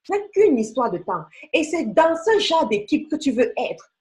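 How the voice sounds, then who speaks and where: A woman's voice: high voice, very animated, normal speed, darkish tone. A woman giving a motivational sales training talk, emphatic and coaxing, speaking straight to the listener. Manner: emphatic, coaxing, addresses listener directly with rhetorical questions. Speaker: beauty sales team leader and trainer.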